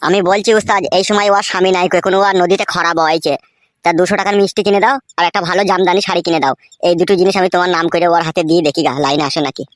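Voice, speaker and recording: A woman's voice: on the low side, fairly even intonation, rapid-fire, no room sound, studio quality, natural voice. A woman voicing a timid, loyal young male henchman in a comic cartoon, pleading and servile. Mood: eager, scheming.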